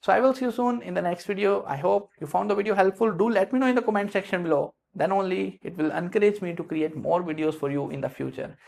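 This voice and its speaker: A male literature teacher speaks English with an Indian accent shaped by years in South India. His voice sits fairly high, with a gravelly, fry-touched texture. He explains concepts conversationally, full of fillers and self-corrections. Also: fast, few pauses, darkish tone. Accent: Indian English with South Indian influence. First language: Hindi. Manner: explains in loose spoken English with many fillers and restarts. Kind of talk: explanatory lecture.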